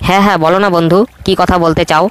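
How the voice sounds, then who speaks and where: Cartoon monkey, male, young, helpful, high and fast; man doing the voice. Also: flat delivery, fairly bright tone, smooth. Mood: eager. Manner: quick, high and friendly. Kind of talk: cartoon voice acting.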